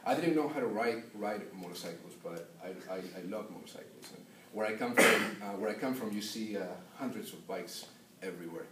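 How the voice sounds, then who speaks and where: A young man from Peru telling an unscripted personal story as a class speech, halting and full of filler sounds. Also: lowish voice, animated, noisy background. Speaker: student giving a class speech. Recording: echoey room, voice from a distance.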